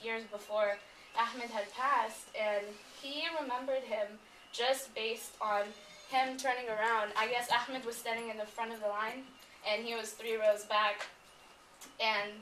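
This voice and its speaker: A woman's voice: on the high side, a bit bright. A woman speaking to a gathering with a heartfelt, solemn manner, as at a poetry reading. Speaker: poetry reader at a memorial gathering. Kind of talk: reciting poems to an audience. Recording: roomy, distant mic, some background noise.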